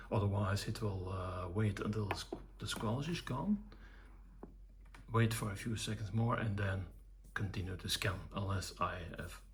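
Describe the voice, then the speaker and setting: A middle-aged or older man giving an unscripted technical demonstration in a matter-of-fact, hesitant way, with a husky, gravelly voice. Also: on the low side, a little hiss or hum. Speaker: electronics hobbyist presenter.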